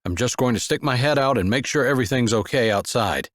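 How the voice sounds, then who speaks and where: A man voicing a father who speaks calmly and reassuringly to keep everyone settled. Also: mid-range voice, fast talker, no room sound, clean studio sound, lightly acted. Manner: calm, reassuring. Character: steady, calm father reassuring his kids.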